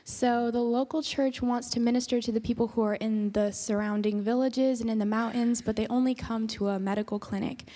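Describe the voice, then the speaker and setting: A woman's voice: fairly high pitch, steady tone, brisk, hardly any pauses, dark tone. A young woman in her twenties, a nursing student, speaking earnestly and steadily to a church congregation. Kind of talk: personal testimony. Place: church sanctuary. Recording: long church hall reverb.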